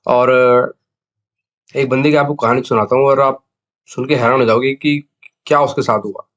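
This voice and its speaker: A man's voice: medium pitch, steady tone, measured pace, fairly bright tone, dull audio. A man with an Indian accent and a sore throat gives a casual explainer monologue as a video host, mixing two languages conversationally. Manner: conversational Hindi-English code-mixing, explanatory. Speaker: video host, cricket betting tipster.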